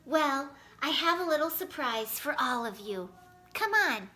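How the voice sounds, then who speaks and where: Woman as storybook shopkeeper, a grateful, generous gentleman, bright and unhurried. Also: high-pitched, animated, exaggerated voice. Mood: generous, proud. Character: grateful, generous toy shop owner, grown man. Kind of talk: children's storybook character voice.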